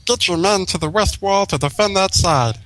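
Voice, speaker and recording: A man's voice: high voice, normal speed, fairly bright tone. A man voicing a self-important, stern young pony girl general who barks orders in a fan fiction reading. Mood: barking, urgent. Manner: barking orders.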